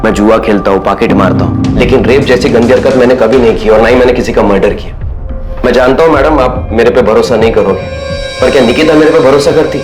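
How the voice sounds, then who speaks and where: A man's voice: medium pitch, brisk, close to an everyday voice. An actor playing a defensive, street-wise small-time crook protesting his innocence in a TV crime drama. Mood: indignant, pleading. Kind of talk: Hindi TV crime drama acting. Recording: loud dramatic music behind.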